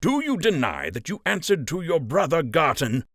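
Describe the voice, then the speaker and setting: A man's voice: on the high side, sing-song, normal speed, fairly bright tone, no room sound, clean studio sound, pitched above the performer's own voice, exaggerated voice. A man acting a snarling, furious old rabbit captain. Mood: scornful, furious.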